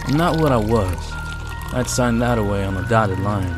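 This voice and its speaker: A man's voice: lowish voice, lively intonation, normal speed, music in the background, natural voice. A man voicing an ambitious, cocky henchman who narrates a motion comic in hardboiled first person.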